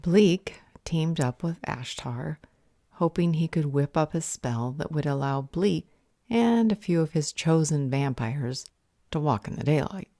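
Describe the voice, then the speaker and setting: Woman playing low, measured female vampire healer. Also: lively intonation, mellow, no room sound. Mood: grave. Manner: measured, polite, dry. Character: composed, cryptic female vampire healer, dryly sarcastic. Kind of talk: audio roleplay drama acting.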